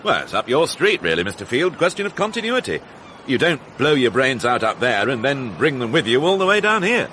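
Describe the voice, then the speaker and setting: An actor playing a wry, courteous British police inspector with probing questions in a radio mystery drama. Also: male voice, mid-range voice, sing-song, a little fast, sharp tone, a little hiss or hum. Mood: dryly curious. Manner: courteous, dryly ironic, probing.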